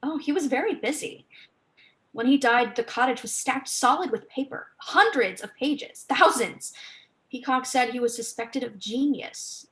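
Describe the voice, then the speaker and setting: Stage play: woman performing a scornful woman author, articulate, higher voice, impassioned lecturer, assertive. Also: expressive, unhurried, a bit bright. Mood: eager, dry. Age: young adult. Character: assertive, scornful woman author researching landscape garden history.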